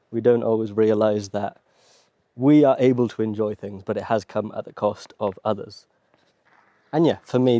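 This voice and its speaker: A British man from Wales talking in a relaxed, conversational, appreciative way, like a casual travel vlog. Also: fairly low pitch, no echo. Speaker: travel vlogger. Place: outdoors and inside rock-cut tombs at a desert site.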